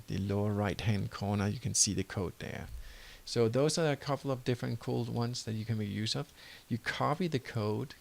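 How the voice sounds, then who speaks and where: Danish-accented man with a gravelly voice. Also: fairly low pitch. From Denmark. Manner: loose, self-correcting, apologizes for slips. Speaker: web design course instructor. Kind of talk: screen-recorded tutorial and course pitch.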